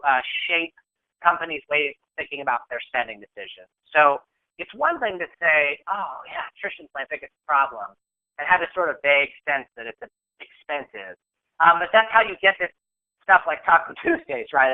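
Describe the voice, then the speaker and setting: Nasal congested man on a phone line. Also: fairly high pitch. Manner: conversational, many fillers and restarts. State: has a cold, congested. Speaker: webinar presenter. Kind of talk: business webinar presentation. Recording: telephone-band webinar audio.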